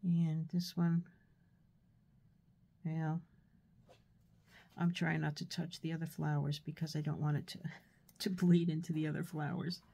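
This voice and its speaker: A woman with a stuffy nose from a cold, giving a relaxed, chatty painting tutorial with fillers and soft asides. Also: deep voice, a little slow, vocal fry, long pauses, breathy edge. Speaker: art tutor.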